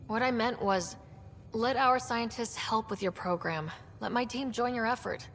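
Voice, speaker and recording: A woman's voice: fairly high pitch, normal speed, a bit bright, in a large hall, a little hiss or hum. An actress playing a confident, direct young female space explorer in a video game, frank and plainspoken. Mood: assured, firm. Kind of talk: video game cutscene acting.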